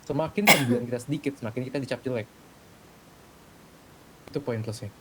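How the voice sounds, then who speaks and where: A man's voice: fast talker, pushed and bright, poor audio. A man casually laying out his points step by step in an online game voice chat. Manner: explanatory, making points step by step. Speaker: player in a role-play gang meeting. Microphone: gaming voice chat microphone. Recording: rumbly background noise on game voice chat.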